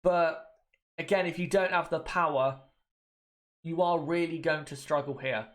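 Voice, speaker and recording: A man's voice: a little high, steady tone, measured pace. Man with a cold, chatting. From United Kingdom. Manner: chatty, repeats points, addresses viewers directly. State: has a cold. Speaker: livestream host. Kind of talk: casual watchalong commentary.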